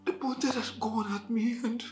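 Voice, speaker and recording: An actor playing a distraught, hot-tempered husband, with a Nigerian accent and a plaintive, insistent delivery. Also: male voice, extremely high pitch, flat delivery, normal speed, faint music behind. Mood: frightened, tearful. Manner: plaintive, repeats words insistently. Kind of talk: emotional film drama acting. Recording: echoey room.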